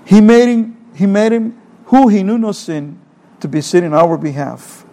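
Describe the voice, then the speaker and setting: A man's voice: sits high, measured pace, dark tone. A middle-aged man from El Salvador with a Salvadoran Spanish accent, preaching a sermon earnestly, asking rhetorical questions and repeating phrases.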